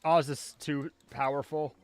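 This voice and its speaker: A man's voice: fairly high pitch, little pitch movement, measured pace, smooth. A man giving casual live commentary as he plays a video game, talking half to himself as a streamer. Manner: casual, muttering self-talk while playing.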